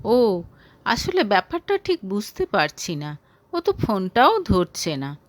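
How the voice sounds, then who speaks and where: A woman's voice: mid-range voice, huge pitch swings, takes it slowly, no echo. A woman voicing a friendly, courteous and persuasive young man in an audio story. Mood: polite.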